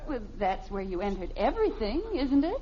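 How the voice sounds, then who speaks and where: A woman's voice: on the high side, sing-song, brisk, warm tone, slightly rough. An American actress playing a middle-aged wife and mother in a 1950s radio comedy, dry, exasperated and incredulous. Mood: dry, needling. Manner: dry, incredulous, pointed questioning. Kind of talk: 1950s radio sitcom acting. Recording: noisy, muffled early 1950s radio recording.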